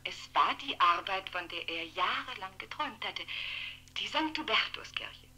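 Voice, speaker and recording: An actress playing an earnest, self-justifying middle-aged former lover in a 1960s radio play, pleading emotionally through a telephone. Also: female voice, mid-range voice, lively intonation, normal speed, pushed and bright, husky, exaggerated voice. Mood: proud, earnest. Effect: heard through a telephone. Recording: noisy, humming old telephone line.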